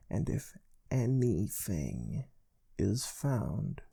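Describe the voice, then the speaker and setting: Man playing a slow, soft, mellow space-agency interviewer. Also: lowish voice, gravelly, slightly rough, exaggerated voice. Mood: formal, quietly stern. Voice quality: soft-spoken. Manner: measured, deliberate, formal. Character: calm, stern male space research job interviewer. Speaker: ASMR role-play performer. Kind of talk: soft-spoken ASMR role play.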